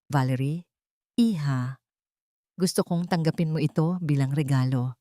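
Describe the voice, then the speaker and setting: A woman's voice: low-pitched, unhurried, warm tone, dry recording, clean studio sound. A woman voicing a scheming older mother-in-law in a scripted drama, speaking with sugary, fake kindness. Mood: falsely sweet.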